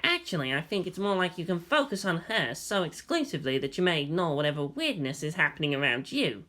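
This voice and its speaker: A man's voice: fairly high pitch, very animated, a little fast, sharp tone. A man voicing a wry, bored, nerdy teenage boy in a story reading, muttering and grumbling. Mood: dry.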